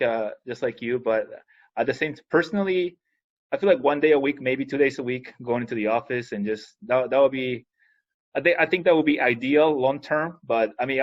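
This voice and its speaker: Chatty man host, dull recording. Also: medium pitch. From Peru. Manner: chatty, rambling, quick asides. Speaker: podcast host. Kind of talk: casual interview talk.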